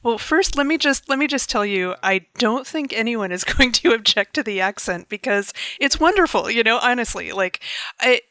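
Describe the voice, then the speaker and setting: A woman's voice: fairly high pitch, expressive, moderate pace, bright, forceful tone, no echo. A woman hosting a casual video call chat, chatty and laughing often as she talks. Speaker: host of a creator hangout.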